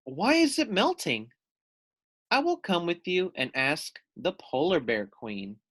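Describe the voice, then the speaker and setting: A man voicing an excitable, curious seabird in a storybook, with exclamatory delivery. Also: fairly high pitch, slow, exaggerated voice. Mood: startled.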